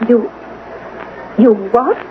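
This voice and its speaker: An actress playing a genteel, flustered young society woman in colonial India, halting and hesitant, in a radio drama. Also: on the high side, expressive. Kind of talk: old-time radio mystery acting. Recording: tinny, noisy old radio broadcast.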